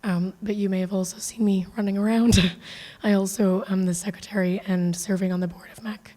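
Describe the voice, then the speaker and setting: A woman from Barbados presents at a conference while her voice is going, so it sounds strained. The tone is mellow, with a long reverb from the hall. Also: medium pitch, level tone, brisk. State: losing her voice.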